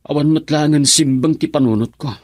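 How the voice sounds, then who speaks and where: An actor playing a defiant, earnest young son and sweetheart in a Filipino radio drama, with a husky voice and Filipino accent. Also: male voice, a little high, a little fast, a little mellow, no room sound, studio quality, natural voice. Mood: pleading, resolute. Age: young adult.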